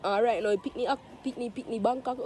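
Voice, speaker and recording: A young Jamaican man with a high voice spins stories for his friends. He talks in animated slang and swears freely, with some outdoor noise behind him. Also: quick pace. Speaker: storyteller in a group of friends. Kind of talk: casual group storytelling.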